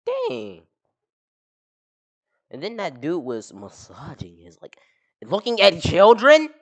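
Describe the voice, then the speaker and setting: A man's voice: high voice, huge pitch swings, takes it slowly. A young man chatting with strangers on a video site through a headset mic, blunt and teasing, with crude insults. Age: young adult. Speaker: streamer chatting with strangers online. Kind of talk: casual video chat commentary. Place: small living room indoors.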